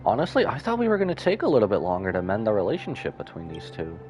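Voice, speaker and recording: Deep-voiced male streamer with lively intonation. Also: fast talker, music in the background. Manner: musing aloud in short reactions. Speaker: live streamer. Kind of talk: casual reaction commentary on a game.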